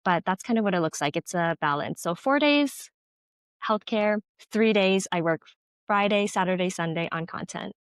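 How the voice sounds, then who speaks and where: A woman's voice: medium pitch, lively intonation, normal speed, clear, resonant tone, dry recording. Chatty Canadian woman, dry close voice. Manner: chatty, laughs often, lots of "like" and "honestly". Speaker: podcast guest, content creator. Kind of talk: casual conversational interview.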